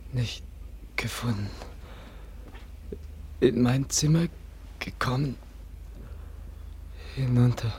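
A man's voice: medium pitch, darkish tone, a little hiss or hum. A wounded, stammering young man. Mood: dazed, faint. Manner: halting broken phrases, faint and whispered. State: weak and injured. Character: wounded, frightened young man speaking broken speech. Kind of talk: 1960s German radio play acting.

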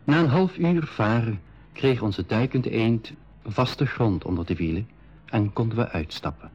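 A man narrating his own adventure calmly in a scripted 1980s radio play, with a husky voice. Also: on the low side, unhurried, slight background noise, lightly acted. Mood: calm storytelling. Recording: slightly dull 1980s radio recording.

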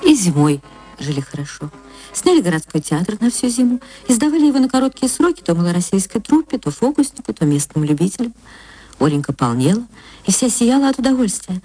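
A woman reading a short story for radio as an actress, in expressive literary narration. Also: medium pitch, brisk. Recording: music under the voice.

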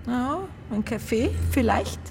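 A woman's voice: fairly high pitch, unhurried, vocal fry, a little mellow, a little hiss or hum. A woman playing a blunt, unsentimental widow in a radio drama, with a Bavarian accent and earthy, colloquial speech. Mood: subdued. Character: blunt, matter-of-fact widow of a murdered small-town man. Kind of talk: naturalistic radio drama acting.

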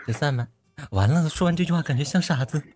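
A woman's voice: low-pitched, fast, darkish tone, husky, soft background music. A woman voice actor chatting casually as a guest, bashful, giggly and hesitant. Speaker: voice actor guest on a cast livestream. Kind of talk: casual unscripted chat.

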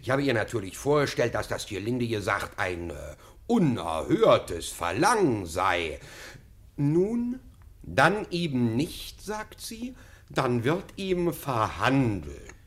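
Deferential official, flustered, stammering, animated pitch, unhurried, radio play. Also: male voice. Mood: nervous, deferential. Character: flustered, obsequious male official in a dilemma. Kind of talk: classic German radio comedy acting.

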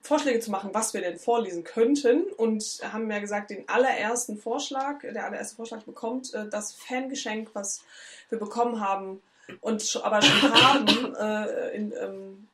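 A woman co-hosting a podcast, a bit hoarse, chatting casually and rambling with many fillers and restarts. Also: mid-range voice, moderate pace, a bit bright, a little hiss or hum. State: slightly hoarse. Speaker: podcast co-host. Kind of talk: casual chat.

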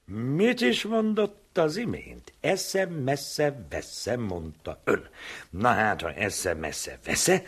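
A teasing chess player in an old cafe, voice swoops up and down, pun after pun. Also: male voice, mid-range voice, a bit bright, breathy edge, exaggerated voice. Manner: wordplay, mocking, theatrical. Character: sly quarrelsome male coffeehouse chess player. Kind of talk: comic radio sketch acting.

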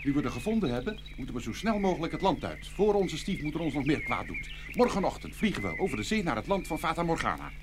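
Young prince, played by an actor: urgent, protective, rapid, lively pitch, storybook drama. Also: noisy background, natural voice. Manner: hushed, hurried, urgent. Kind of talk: fairy-tale radio play acting.

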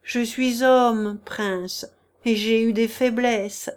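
A woman's voice: fairly high pitch, measured pace, a little mellow, natural voice. A woman reading the part of a male swindler who pleads, wheedles and begs for mercy in a classic novel. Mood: pleading. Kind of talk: audiobook character reading.

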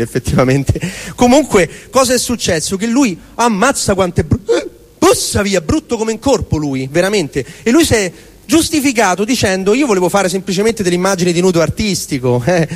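A man with a Roman accent performing a rambling, exasperated comic monologue as if talking to himself. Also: high-pitched. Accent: Roman Italian. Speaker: stage performer. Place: live performance venue. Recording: live venue with long reverberation.

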